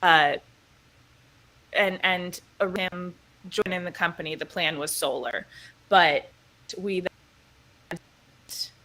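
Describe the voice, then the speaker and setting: A woman who voices a character and produces the show, chatting lively in an interview and laughing often. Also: fairly low pitch, takes it slowly, long pauses, rough recording. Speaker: voice actor and producer. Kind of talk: casual interview chat.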